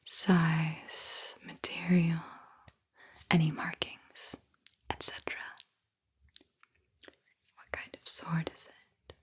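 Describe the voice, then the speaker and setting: A woman whispering a guided visualization in an ASMR style, with a breathy, airy voice and a soothing, deliberate delivery. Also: low-pitched, completely flat delivery. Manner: slow, soothing, deliberate delivery with repeated phrases. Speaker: host, guided visualization narrator.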